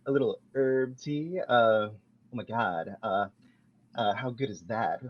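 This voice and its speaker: A man reading a smug, slow-talking flirt. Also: fairly low pitch. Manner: sleazy, self-satisfied flirting. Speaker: podcast host reading a script. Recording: dull lo-fi sound.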